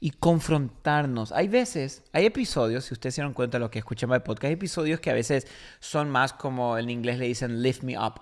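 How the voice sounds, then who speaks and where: A man's voice: mid-range voice, lively intonation, a little fast. A man with a Paraguayan Spanish accent, a podcast host and pastor from Paraguay, talking casually and telling stories with emphatic repetition. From Paraguay, born in Argentina. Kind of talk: casual conversational religious podcast talk.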